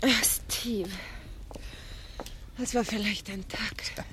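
An actress playing a sweet, girlish young woman in love in a 1970s radio crime drama, emotional and drawn-out. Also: medium pitch, steady tone, music in the background. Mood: downcast. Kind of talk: 1970s German radio play acting. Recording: noisy vintage radio drama recording.

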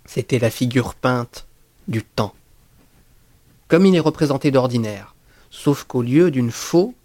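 A man reading a first-person horror story as an audiobook narrator, in a measured, deliberate and expressive literary style. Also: medium pitch, no echo.

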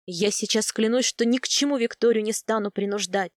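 A woman's voice: medium pitch, brisk, no echo, studio quality, natural voice. A woman voicing a stubborn, protective young warrior man who talks bluntly in a fantasy audiobook. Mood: earnest.

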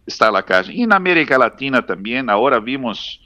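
A man's voice: medium pitch, big pitch swings, fairly quick, bright, forceful tone, no echo. A man speaking Spanish as a second language in an interview, hesitant and full of restarts, yet speaking with urgent conviction. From Palestinian community. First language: not Spanish. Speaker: interview guest. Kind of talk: halting interview answers.

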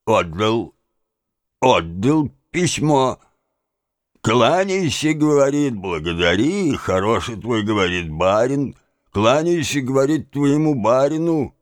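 A stubborn, tipsy manservant for a classic-novel audiobook. He drawls slowly with wide swings of pitch, evasive and insolent, circling back over his words. The recording is dry. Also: expressive, no echo. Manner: drawling, repetitive, obstinate.

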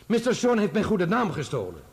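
A man's voice: sits high, wildly swooping pitch, a little fast, slightly rough, exaggerated voice. An actor playing a proud, indignant man testifying in a radio play, answering formally and defensively. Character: indignant, proud adult son testifying in court. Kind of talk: radio play courtroom acting.

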